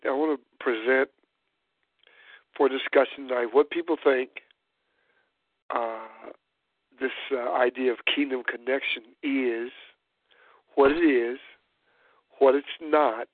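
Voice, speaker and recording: A hoarse, congested man hosting a church call-in roundtable, speaking haltingly with many fillers. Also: mid-range voice, dry recording. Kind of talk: hesitant spoken discussion. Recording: dry tinny phone line.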